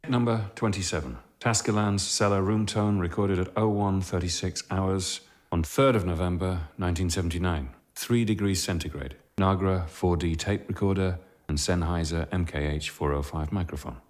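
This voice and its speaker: A worn-out, plain-spoken sound man, as a man plays him in a radio drama, reciting recording details flatly before his patience runs dry. His voice is low-pitched and matter-of-fact. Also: moderate pace, lightly acted. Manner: flat, dry logging voice that turns exasperated. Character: weary, blunt male sound technician, fed up with his boss. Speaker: sound technician logging tapes.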